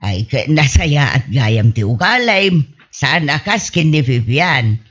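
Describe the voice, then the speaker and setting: Woman acting an unhurried older village auntie. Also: extremely low pitch, animated, exaggerated voice. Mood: persuasive. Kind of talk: comedy radio drama acting. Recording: muffled audio with music underneath.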